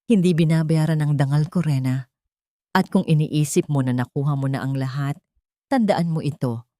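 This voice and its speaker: A woman's voice: deep voice, normal speed, warm tone, no room sound, clean studio sound, close to an everyday voice. A woman playing a heartbroken, proud young wife in a drama story, speaking in a firm, defiant, dignified way. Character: wronged, proud, heartbroken young wife standing her ground.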